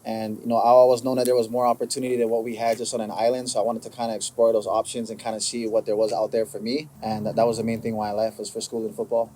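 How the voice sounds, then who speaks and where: A man from Hawaii answering interview questions in a relaxed, plain-spoken way. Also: lowish voice, fast, few pauses, slight background noise, low-quality recording.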